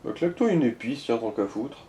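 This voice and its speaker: Male gaming co-commentator. Mellow, mid-pitched, casual and slangy, advising the player over slight background noise. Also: very animated, fairly quick, reverberant. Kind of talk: casual gaming chat with tips.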